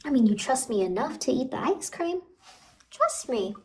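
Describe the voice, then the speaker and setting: Woman playing a high-voiced, coaxing, sinister clown. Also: sing-song, moderate pace. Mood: falsely reassuring. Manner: soft, cajoling, falsely reassuring. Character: sinister, coaxing female ice cream truck clown. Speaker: ASMR performer. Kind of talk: ASMR horror roleplay. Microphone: close ASMR microphone.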